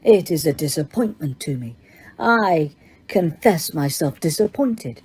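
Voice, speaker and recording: A woman voicing a cold, slow, menacing dark lord. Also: low voice, lively intonation, slightly rough, heavily acted. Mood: brooding.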